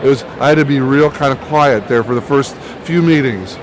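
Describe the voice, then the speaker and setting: Middle-aged male guest, casual storytelling, halting restarts, medium pitch, noisy convention recording. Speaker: interview guest, TV writer and producer. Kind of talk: casual interview anecdotes. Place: busy comic convention. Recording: noisy outdoor-sounding convention recording with traffic-like din.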